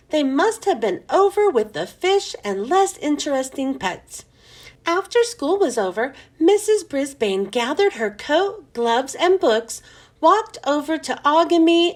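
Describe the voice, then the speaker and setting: A woman reads a children's story aloud in a high voice. Her intonation swings widely, and she narrates expressively, shaping each sentence for young listeners. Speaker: children's book read-aloud host.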